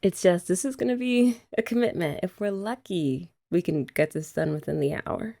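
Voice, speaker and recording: A woman's voice: lowish voice, moderate pace, gravelly, dark tone. A young woman chatting playfully to a partner in an ASMR roleplay, teasing and laughing often. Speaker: ASMR creator. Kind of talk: scripted ASMR roleplay as a girlfriend.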